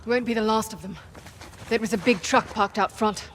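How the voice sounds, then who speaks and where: Actress doing a no-nonsense merc woman in a game, dry and matter-of-fact, clear noise behind her. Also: fairly high pitch, normal speed, lightly acted. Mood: pragmatic. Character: pragmatic, tough female mercenary. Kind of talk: video game cutscene acting.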